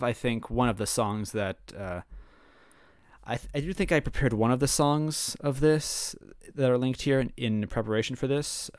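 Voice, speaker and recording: A man casually reading a fan story aloud, rambling between passages and clearing his throat. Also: mid-range voice, dry recording, studio quality. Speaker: reader and narrator.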